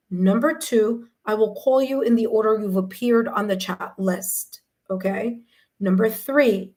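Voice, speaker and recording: A woman hosting a live tarot reading stream, slightly hoarse, chatty and repetitive, checking in with "okay?" as she talks to viewers. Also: mid-range voice. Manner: chatty, repeats herself, tags sentences with "okay?".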